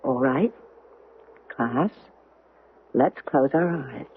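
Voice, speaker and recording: An actress playing a young widowed history teacher, wistful and grieving, speaking hesitantly and emotionally in an old-time radio drama. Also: female voice, a bass voice, very slow, slight background noise, exaggerated voice. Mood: reflective. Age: young adult. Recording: muffled, band-limited 1970s radio broadcast.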